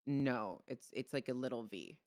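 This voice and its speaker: A man who grew up speaking Czech chats casually during game roleplay. His voice sits at a middle pitch and he is animated and informal, swearing freely. The sound is very dry, with no room on it. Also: normal speed, dry recording. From Czech Republic. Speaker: streamer playing a dispatcher in online roleplay.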